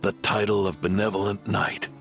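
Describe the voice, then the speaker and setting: An actor playing a kindly, frail old knight grandfather in a dramatic video game, with an aged gravelly voice. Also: fairly low pitch, flat delivery, normal speed, fairly bright tone, soft background music. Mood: weary, tender. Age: older.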